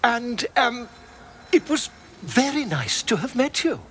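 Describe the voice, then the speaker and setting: A man playing a flippant video game character, theatrical and insincerely polite in a drawn-out way. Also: very high voice, very animated, fairly bright tone, slight background noise, exaggerated voice. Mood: mocking.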